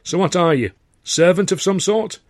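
A man's voice: high voice, moderate pace, dry recording, studio quality, pitched above the performer's own voice, lightly acted. A man voicing a dapper, shrewd little theatre man with a blunt, sarcastic manner and a Northern English accent. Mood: firm, appraising. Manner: sarcastic, probing.

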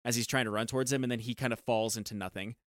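A man co-hosting a podcast talks through a film in a loose, rambling way, full of filler words. His voice sits at an ordinary pitch, and the recording is dry and close. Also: fairly even intonation, rapid-fire, dry recording. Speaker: podcast co-host. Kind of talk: casual chat about a film.

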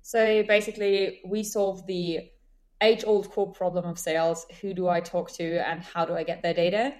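A woman's voice: on the low side, little pitch movement, moderate pace, darkish tone. A young adult woman, a guest on a podcast interview, talking fluently and explaining terms as she goes. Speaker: guest, senior account executive.

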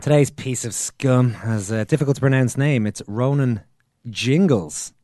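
A hoarse Irish man hosting a talk show, brisk and lightly teasing as he moves things along. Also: medium pitch, mellow, no echo, studio quality. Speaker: radio podcast host. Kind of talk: studio chat.

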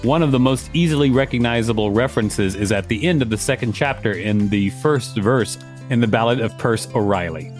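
A man's voice: medium pitch, fairly quick, hardly any pauses, faint music behind. A man co-hosting a podcast, reading an encyclopedia article aloud and adding casual commentary. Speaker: podcast co-host.

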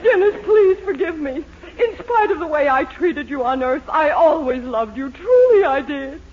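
A woman's voice: high-pitched, lively intonation, moderate pace. An actress playing a domineering, dramatic middle-aged mother in a 1940s radio sitcom. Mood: frightened, pleading. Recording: noisy, muffled 1940s radio recording.

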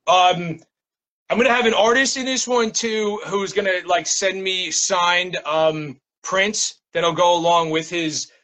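A man's voice: sits high, a little slow, sharp tone, low-quality recording. An American man with a laid-back, slangy way of talking, a guest poet chatting casually and reading his work. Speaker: guest poet and small press publisher. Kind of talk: casual interview chat and poetry reading.

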